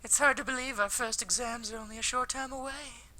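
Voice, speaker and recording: A man voicing a sweet, polite teenage schoolgirl from an anime visual novel in an airy, gentle falsetto. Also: steady tone, brisk, full character voice, low-quality recording. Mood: gently curious.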